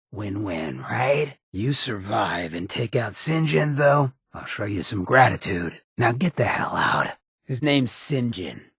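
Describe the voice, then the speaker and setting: An actor playing a swaggering, roguish and menacing ghoul mayor in a video game, with a raspy, streetwise, slangy drawl. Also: male voice, on the low side, dry recording, clean studio sound, full character voice. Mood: threatening, blunt. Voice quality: very raspy, gravelly rasp. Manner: streetwise slangy drawl, cocky and smooth-talking. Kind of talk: video game character dialogue.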